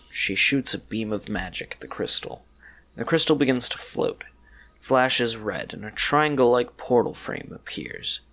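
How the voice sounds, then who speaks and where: Lowish, animated male story narrator.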